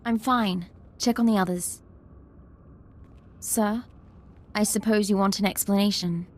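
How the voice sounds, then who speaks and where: An actress playing a dutiful, earnest young military ensign in a video game, speaking directly. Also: female voice, medium pitch, fairly even intonation, unhurried, lightly acted. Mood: confessional, guarded.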